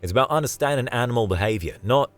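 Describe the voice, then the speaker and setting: A man's voice: medium pitch, lively intonation, fast, voice pushed up, natural voice. A man voicing a cheerful, fearless young man in an audio story, breezy and slangy. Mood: cheerful, insistent.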